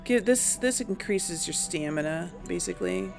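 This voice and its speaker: A woman's voice: lowish voice, lively intonation, normal speed, gravelly, a little mellow, faint music behind. A woman giving casual let's play commentary, talking unhurriedly and thinking aloud as she goes. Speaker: game streamer narrating a role play.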